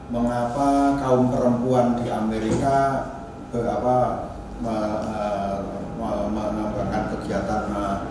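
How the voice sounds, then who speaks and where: A man giving an informal academic lecture as a university teacher, halting and restarting, often adding tag questions. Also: mid-range voice. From Indonesia. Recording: very echoey room, distant mic, faint noise.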